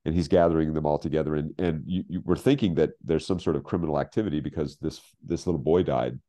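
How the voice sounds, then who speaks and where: An American man in his sixties telling stories in casual conversation, halting and emphatic, often repeating phrases for weight. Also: rumbling low, fairly even intonation, mellow. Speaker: guest, scriptwriter. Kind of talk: casual anecdotal storytelling in conversation. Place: home office, video call.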